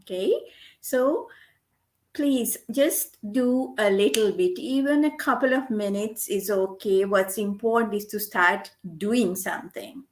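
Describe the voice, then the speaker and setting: A middle-aged woman giving a gentle, earnest guest lecture on meditation, repeating her key points. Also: mid-range voice, unhurried. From Sri Lanka. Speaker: guest lecturer, medical doctor.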